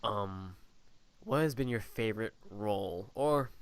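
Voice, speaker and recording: A man in his early twenties hosting an interview podcast, chatty and jokey, with quick reactions to his guest. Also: on the low side, no echo. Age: early 20s. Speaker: podcast host.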